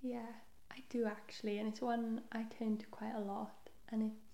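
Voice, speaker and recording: Young woman for a guest spot: warm, slightly fried voice, casual and chatty, in a roomy space. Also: a little high, flat delivery, normal speed, gravelly. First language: Welsh. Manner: chatty, self-deprecating, often trails into soft asides. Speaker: podcast guest. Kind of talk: casual chat. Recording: roomy echo.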